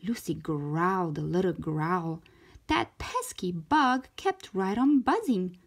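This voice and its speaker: A woman reading a storybook aloud for young children in an expressive, deliberate way, stretching out key words. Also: fairly low pitch. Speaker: children's storybook reader, show host.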